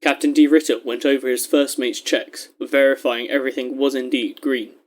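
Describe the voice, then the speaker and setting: A man narrating a scripted adventure story in a measured, descriptive storytelling manner. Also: mid-range voice, steady tone.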